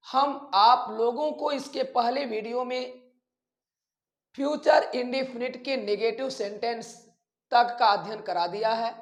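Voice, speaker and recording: A man with an Indian accent, a grammar teacher explaining step by step in a deliberate way, repeating phrases and addressing his students directly. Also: very high voice. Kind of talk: instructional lesson, explaining step by step. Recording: echoey room.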